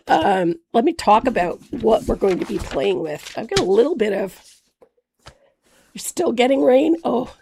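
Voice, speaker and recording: A hoarse woman with a gravelly voice, chatting with viewers by name while demonstrating mixed-media painting on a live stream. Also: on the high side. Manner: chatty, greets viewers by name, warm fillers. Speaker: craft painting instructor.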